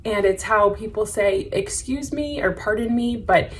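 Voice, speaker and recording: An American woman, a French instructor, explaining words to camera in a patient, measured way and slowing to repeat each word carefully. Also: mid-range voice, slight background noise. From United States.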